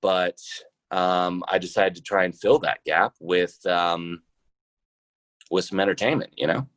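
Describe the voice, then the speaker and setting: Male podcast guest, very deep voice, conversational and hesitant, closely dry recording. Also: fairly even intonation, a little slow, a bit bright, no room sound. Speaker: podcast guest, role-playing show founder and trucker. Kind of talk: casual interview conversation.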